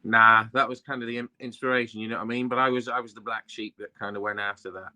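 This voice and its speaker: A man's voice: medium pitch, steady tone, quick pace, pushed and bright. A young Welsh man, a tarot reader, chatting in a rambling, laid-back way, full of "you know what I mean". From Wales. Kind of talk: casual interview chat.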